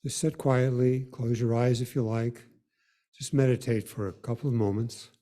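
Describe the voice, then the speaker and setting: A man leading a worship service in an informal, unhurried, gently guiding way. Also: mid-range voice, steady tone. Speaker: worship leader.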